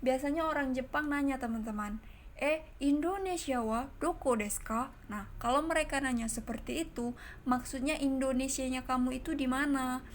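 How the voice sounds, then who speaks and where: A woman teaching a language lesson online. She repeats each example sentence slowly, explains it step by step and keeps turning to her viewers as friends. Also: high-pitched, slight background noise.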